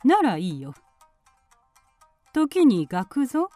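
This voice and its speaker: A woman's voice: on the high side, huge pitch swings, extremely slow, warm tone, no echo, soft background music, exaggerated voice. A woman playing a spiteful, suspicious middle-aged aunt in a period radio drama, with a haughty, sneering, commanding manner. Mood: scornful, suspicious. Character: spiteful, suspicious aunt and mistress of a village household.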